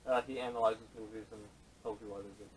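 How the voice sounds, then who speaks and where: A man's voice: lowish voice, fairly even intonation, fast talker. Man talking films, far from the mic. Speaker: podcast co-host. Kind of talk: casual opinionated film chat. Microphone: camera microphone at a distance. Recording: poor camera audio, distant and noisy.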